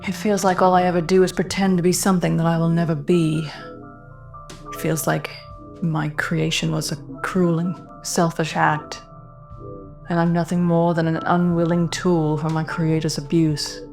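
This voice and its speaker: Woman as a low, somber female android. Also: level tone, darkish tone, soft background music. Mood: somber, bitter. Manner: measured, breathy, somber. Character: somber, dangerous female android, bitter and weary.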